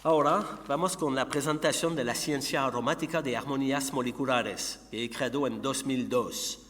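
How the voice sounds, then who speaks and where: A middle-aged man from Montreal, a native French speaker with a Quebec French accent, gives a conference presentation in a second language, haltingly searching for words. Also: mid-range voice, fairly even intonation, fairly bright tone. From Montreal, Canada. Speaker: conference speaker, company founder and researcher. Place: conference hall. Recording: echoey hall with long reverb, background hum.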